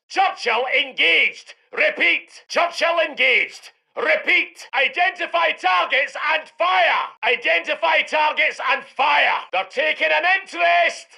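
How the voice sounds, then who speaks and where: A brash Scottish heavy tank commander from a war game. His voice is pitched very high for a man, hard-edged and piercing, with a thick gravel in it. He barks salty, commanding orders to his crew with swagger. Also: bright, forceful tone, heavily acted. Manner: commanding, salty, colourful slang. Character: gruff, cocky British heavy tank commander barking orders. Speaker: video game voice actor. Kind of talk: shouted military unit voice lines.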